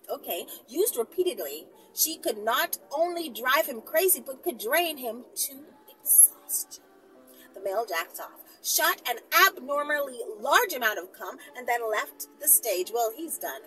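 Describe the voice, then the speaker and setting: A woman's voice: sits high, sharp tone, distant mic, rough recording. A woman reading a story aloud in a dramatic style, with playful, teasing asides to her listeners. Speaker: storyteller, channel host.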